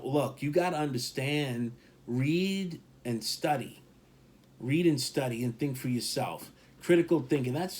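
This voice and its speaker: A man talking in a loose, rambling way. He hammers his points by saying them twice and leans hard on key words. His pitch sits in an ordinary middle range. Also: a little mellow. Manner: rambling, emphatic, repeats himself for stress. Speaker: podcast co-host. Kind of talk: casual opinion talk.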